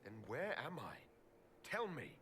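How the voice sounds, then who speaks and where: A man's voice: a little high, huge pitch swings, unhurried, noisy background. An actor playing a confused, demanding male hero in a fantasy video game, speaking tersely. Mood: suspicious, demanding.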